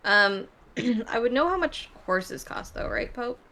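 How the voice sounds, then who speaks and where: Woman, fairly high pitch, bright sharp tone, casual tabletop game chat. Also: expressive, unhurried.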